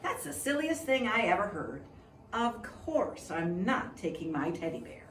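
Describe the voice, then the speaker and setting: A woman's voice: mid-range voice, sing-song, a little slow, slight background noise. A woman voicing a teasing sister from a children's storybook, with pointed, sing-song questions. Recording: echoey room, distant mic.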